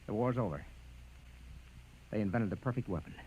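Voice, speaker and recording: An actor playing a doomed, weary man in a 1950s radio drama, halting and dramatic in his speech. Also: fairly low pitch, lively intonation, darkish tone, close to an everyday voice. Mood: grim, resigned. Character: doomed, weary man facing humanity's end. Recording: noisy old radio recording.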